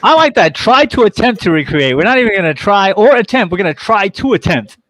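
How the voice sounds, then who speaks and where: Very animated, teasing guest in casual banter. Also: medium pitch. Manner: teasing, jokey, quick to interject.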